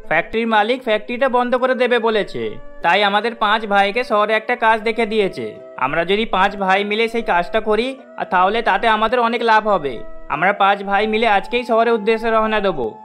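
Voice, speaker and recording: A man's voice: high voice, fairly quick, a bit bright. A man voicing a worried, dutiful adult village son in a cartoon, speaking in an earnest, emphatic way. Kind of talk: cartoon story dialogue. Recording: music under the voice.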